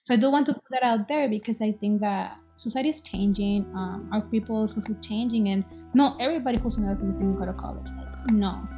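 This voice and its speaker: A woman's voice: medium pitch, fast talker, hardly any pauses, music in the background. Woman in her twenties from El Salvador, interview guest, earnest and hesitant, slightly muffled recording. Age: 20s. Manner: hesitant, many "um"s, earnest and reflective. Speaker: guest, business owner. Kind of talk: casual interview conversation.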